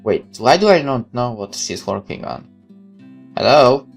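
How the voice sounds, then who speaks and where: A man's voice: mid-range voice, expressive, a little slow, vocal fry, soft background music. A man hosting a let's play, reading game text aloud expressively and adding casual reactions.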